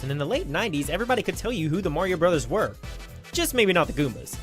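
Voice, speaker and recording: A young video essay host reads a comedic script at a brisk clip. The delivery swings widely in pitch, with sarcastic exclamations and casual swearing. Music plays softly underneath.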